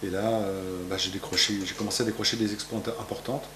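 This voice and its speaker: A man's voice: on the low side, fairly even intonation, fast, fairly bright tone, echoey room, slight background noise. A man in his fifties from France, a painter answering interview questions in his gallery, rambling with many fillers and restarts. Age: 50s. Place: art gallery, indoors.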